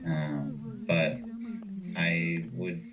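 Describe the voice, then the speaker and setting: A man with an American accent giving reflective, measured answers as an interview guest. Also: very deep voice, level tone, a crowd in the background. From United States. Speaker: interview guest, ayahuasca retreat owner. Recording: distant mic with clear music behind.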